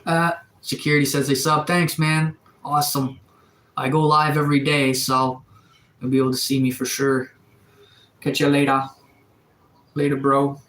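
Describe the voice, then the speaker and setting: A man's voice: mid-range voice, normal speed, long pauses, echoey room. Laid-back man in his thirties rambling. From Atlantic Canada. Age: 30s. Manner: relaxed, rambling, many fillers and restarts. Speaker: livestreamer. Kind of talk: casual live-stream chat reading viewer comments. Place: sunroom.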